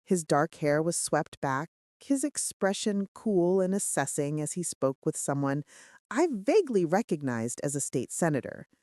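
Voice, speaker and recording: A woman's voice: low voice, big pitch swings, moderate pace, darkish tone, dry recording, studio quality. A woman reading scripted first-person romance fiction as an audiobook narrator, with dramatic, suspenseful delivery.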